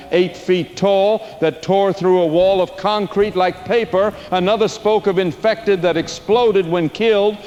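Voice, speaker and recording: An actor playing a solemn, portentous preacher who has survived a plague, delivering a dramatic radio sermon in an audio drama. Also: male voice, high-pitched, slight background noise. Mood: dire, fervent. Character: apocalyptic preacher, a grave male survivor of a plague. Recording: long cavernous reverb with music underneath.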